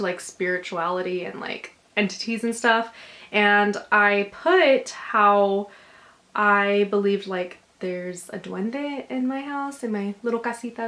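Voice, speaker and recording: Casual host, young woman, talks loose and gentle with plenty of filler. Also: mid-range voice. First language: English. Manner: chatty, filler-heavy, gently reassuring. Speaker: channel host. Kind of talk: casual chat, reading subscriber stories.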